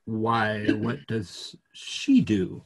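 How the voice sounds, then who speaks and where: A man in his fifties playing a polite, inquisitive gentleman in a stage play reading, measured and questioning. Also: lowish voice, exaggerated voice. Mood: polite curiosity. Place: dim home room on a video call.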